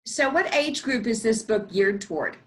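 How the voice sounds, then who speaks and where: A woman's voice: fairly high pitch, lively intonation, normal speed, fairly bright tone. A woman hosting an interview, asking friendly, encouraging questions that ramble a little. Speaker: interviewer, host. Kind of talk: casual interview.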